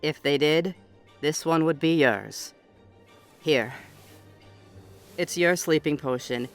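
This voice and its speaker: A man's voice: on the high side, takes it slowly, clean tone, soft background music. A man playing a stern, dry autumn fae noble who leads the Wild Hunt, speaking pointedly in an audio roleplay. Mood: dry, unimpressed. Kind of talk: scripted audio roleplay acting.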